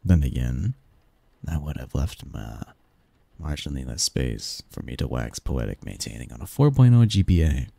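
A man playing a snarky young research assistant who fondly teases a friend in a halting, wordy, intimate ASMR roleplay monologue. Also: very deep voice, sing-song, creaky, mellow, no echo, heavily acted. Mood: teasing, fond. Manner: halting, sighing, teasing, wordy.

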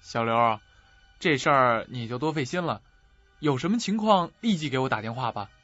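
A man's voice: medium pitch, animated, normal speed, fairly bright tone, no room sound, dull audio, faint music behind. A man playing a concerned, insistent manager in a suspense radio drama. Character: male manager, concerned and insistent over the phone.

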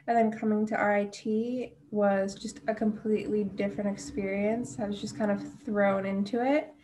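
A woman's voice: mid-range voice, mellow. A young woman with an American accent, casually telling the story of her own background. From western New York, United States. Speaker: university student or alum sharing her story.

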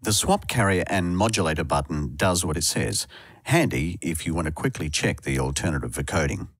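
A man's voice: a bass voice, expressive, brisk. A man reading scripted instructional narration for a tutorial as a voice-over. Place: close, dry voice-over recording.

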